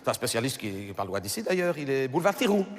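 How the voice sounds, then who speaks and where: A man's voice: medium pitch, big pitch swings, fast talker, big-room sound, natural voice. A man voicing a chatty middle-aged housewife with a Belgian French accent, rambling and stammering through self-corrections in a stand-up monologue. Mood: gossipy. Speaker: comedian.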